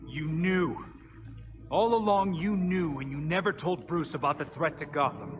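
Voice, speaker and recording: A woman's voice: sits low, moderate pace, music in the background, natural voice. Blunt, defiant female vigilante played by an actress. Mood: accusing. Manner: blunt, confrontational. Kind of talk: video game cutscene acting.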